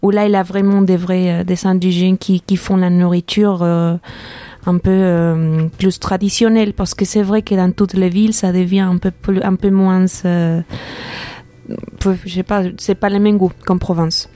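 A woman's voice: fairly low pitch, level tone, moderate pace, dark tone, no room sound. A woman from Mexico City with a Mexican Spanish accent, co-hosting a casual radio podcast, hesitant with many fillers and laughs. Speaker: podcast co-host.

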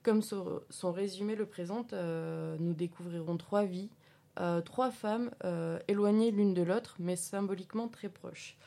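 A woman's voice: lowish voice, fairly even intonation, measured pace, vocal fry, dark tone. A woman reviewing a film in a casual radio chat, hesitant and full of fillers.

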